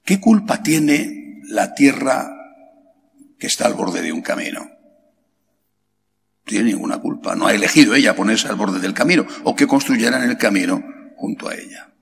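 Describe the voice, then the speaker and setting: Man from Spain, middle-aged, priest, emphatic sermon, rhetorical questions, gravelly voice, long hall echo. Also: expressive. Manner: slow and emphatic, rhetorical questions, pitch leaps on key words. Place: large chapel. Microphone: stand mic at lectern. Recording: long hall reverb, faint background hiss.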